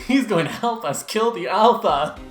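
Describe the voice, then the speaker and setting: Mysterious outsider girl, acted by an amateur reader: earnest, guarded, mid-pitched, echoey room. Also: animated, normal speed, over music, lightly acted. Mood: earnest, secretive. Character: young female outsider, earnest mysterious werewolf's daughter.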